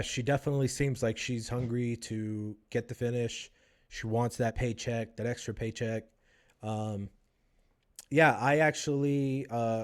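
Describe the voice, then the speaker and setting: A man co-hosting a podcast, giving a casual fight breakdown and chatting with a guest. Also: medium pitch. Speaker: podcast co-host. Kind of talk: casual MMA breakdown and interviewing.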